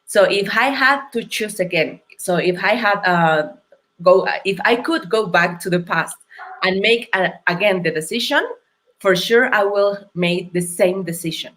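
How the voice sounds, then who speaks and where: A woman from Mexico with a Mexican accent, a non-native English speaker, telling her story as an interview guest in an earnest, thoughtful and halting way. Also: mid-range voice, a bit bright. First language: Spanish. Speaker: guest entrepreneur.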